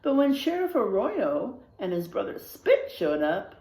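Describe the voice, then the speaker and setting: A woman reading a children's story aloud in a dramatic, animated narrating style. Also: mid-range voice, measured pace. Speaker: storybook reader. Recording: roomy, echoing sound.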